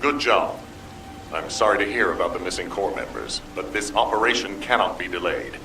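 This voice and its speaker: An actor playing a stern, resolute headquarters commander in a video game, formal and commanding in manner. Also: male voice, low-pitched, brisk, reverberant, distant mic, noisy background. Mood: stern, solemn.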